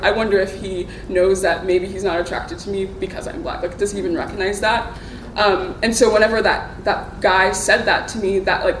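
A woman's voice: mid-range voice, slight background noise. Young woman talking, lots of um and like, kinda far from the mic, echoey. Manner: hesitant, many fillers like "um" and "like". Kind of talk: personal sharing in a group discussion. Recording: echoey room, voice a bit distant from the mic.

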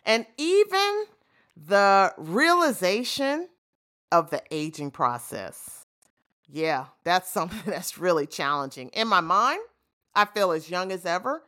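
A woman's voice: lowish voice, very animated, takes it slowly. A woman in her fifties hosting a podcast, talking reflectively and telling stories with easy asides. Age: 50s. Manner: warm, reflective storytelling with frequent "you know" asides. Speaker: podcast host. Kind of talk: reflective solo monologue.

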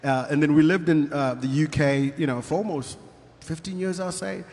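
A man's voice: on the high side, moderate pace, a little mellow. A middle-aged man with a Zimbabwean accent, speaking casually and a little hesitantly as an interview guest. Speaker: interview guest, worship team musician. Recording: long reverberant room echo.

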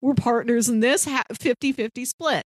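A woman's voice: a little high, moderate pace, no room sound, poor audio. A woman co-hosting a podcast in casual film discussion, opinionated in how she talks. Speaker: podcast co-host.

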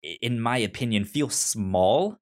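A man with a stutter chatting casually as a review podcast co-host, in a halting, informal way. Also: medium pitch, lively intonation. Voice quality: stutter, repeating sounds and words.

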